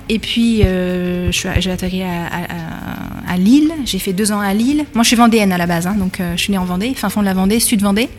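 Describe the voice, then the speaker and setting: A woman's voice: medium pitch, slight background noise. Hesitant woman in a reverberant room. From France. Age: 30s. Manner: hesitant, many fillers and restarts. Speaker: guest, bakery school manager. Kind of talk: radio interview. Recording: long reverb.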